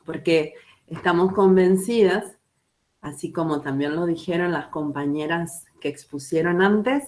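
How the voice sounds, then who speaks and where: A woman from Uruguay with a Uruguayan Spanish accent, a schoolteacher presenting her work slowly and carefully at an online book launch. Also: fairly low pitch, darkish tone. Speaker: guest teacher.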